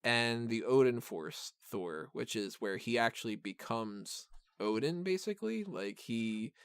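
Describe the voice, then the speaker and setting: A man's voice: mid-range voice, animated, a little slow, clean tone, no room sound, studio quality. A man hosting a podcast, discussing a film casually in a rambling, enthusiastic way. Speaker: podcast host reviewing a film.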